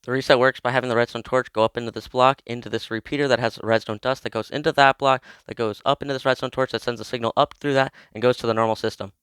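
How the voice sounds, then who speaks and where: A man's voice: medium pitch, steady tone, fast, few pauses, fairly bright tone, clean tone, no room sound. Young man with a cold, casual tutorial. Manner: chatty, informal, explains step by step. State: has a cold. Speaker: video creator explaining a game build.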